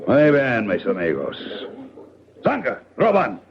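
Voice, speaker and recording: An actor playing a gruff male gunman guarding hostages in a 1970s radio drama, giving curt, threatening orders. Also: medium pitch, wildly swooping pitch, unhurried, a little hiss or hum, full character voice. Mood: menacing. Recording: dull old radio broadcast.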